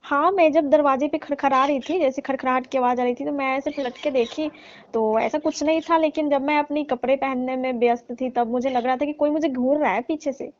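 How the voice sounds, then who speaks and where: A woman's voice: high voice, fairly even intonation, brisk, close to an everyday voice. A woman playing a young daughter-in-law in a comedic phone-call skit, scolding and teasing in mock reproach. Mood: coy, teasing. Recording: dull lo-fi phone-call style audio.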